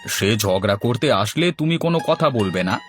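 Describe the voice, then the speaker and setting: A man's voice: fairly low pitch, lively intonation, normal speed, a bit bright, soft background music. A man playing a henpecked village husband in a cartoon comedy, exasperated by quarrels and trying to placate. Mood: weary, placating. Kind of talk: Bengali cartoon comedy acting.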